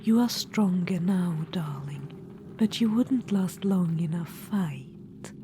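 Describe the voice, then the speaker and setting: A woman voicing an ancient undead witch-queen in an ASMR roleplay. She whispers softly, coaxing and a little condescending, and calls the listener darling. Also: fairly low pitch, takes it slowly, noisy background. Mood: sly.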